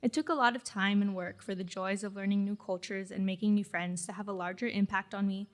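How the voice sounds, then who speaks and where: Teen girl, student speaker, reflective prepared speech, mid pitch. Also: fairly even intonation, fairly quick. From Mexican-American family in California. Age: late teens. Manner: reflective, earnest, reading from a script.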